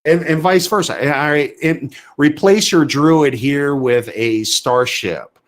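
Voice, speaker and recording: A man's voice: on the high side, takes it slowly. A man co-hosting a podcast in an opinionated, casual discussion, emphatically asking and answering his own questions. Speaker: podcast co-host.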